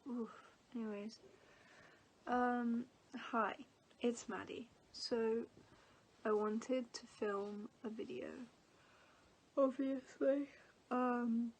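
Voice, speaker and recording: A woman's voice: fairly high pitch, steady tone, extremely slow, long pauses, low-quality recording. Young English woman, twenties, stuffy-nosed, chatty vlogger with filler-heavy, meandering delivery. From England. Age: 20s. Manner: rambling, many fillers and restarts. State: sniffly from allergies. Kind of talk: casual storytelling vlog.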